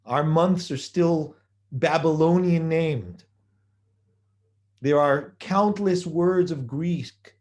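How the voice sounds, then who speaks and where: A man giving a measured holiday sermon, building his points through repeated phrases and rhetorical questions. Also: fairly high pitch, a little mellow. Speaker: rabbi giving a holiday talk.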